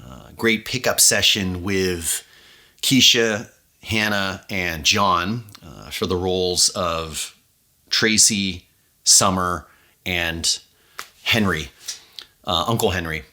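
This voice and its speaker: A man speaking casually and effusively as an audio drama director, halting as he finds his words. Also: low voice, sharp tone. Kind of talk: casual, unscripted behind-the-scenes talk.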